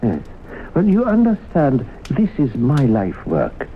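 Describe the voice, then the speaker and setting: A man's voice: mid-range voice, wildly swooping pitch, takes it slowly. A man playing a wily, fussy professor in a 1970s radio drama, genteel, hesitant and mock-reluctant as he bargains. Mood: stubborn, wheedling. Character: wily, fussy male professor haggling over his demonstration. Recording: muffled, hissy old radio broadcast.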